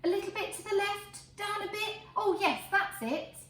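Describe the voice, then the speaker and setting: A woman's voice: very high-pitched, normal speed, fairly bright tone, voice pushed up. A woman voicing a polite, blissfully pleased pig from a storybook, with gushing delight. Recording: roomy echo, faint background noise.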